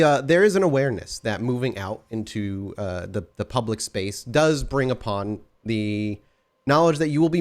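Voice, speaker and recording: A man running a tabletop role-playing game as storyteller, describing scenes and asking the players questions. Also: medium pitch. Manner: descriptive, asks players questions with many fillers.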